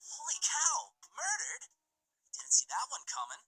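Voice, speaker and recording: A man's voice: extremely high pitch, wildly swooping pitch, unhurried, pushed and bright, breathy edge, voice pushed up, heavily acted, rough recording. A man voicing a laid-back, slangy young security guy who sounds rattled and incredulous, in a visual novel game. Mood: shocked. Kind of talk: dramatized visual novel dialogue reading.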